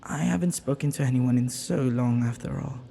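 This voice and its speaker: A man's voice: mid-range voice, moderate pace, gravelly, slight background noise, natural voice. A man playing a flirty, ancient banished villain in an intimate audio roleplay, lonely and teasing, with hesitant, murmuring delivery. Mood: curious, musing. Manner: flirtatious, hesitant, breaking into murmurs and soft chuckles.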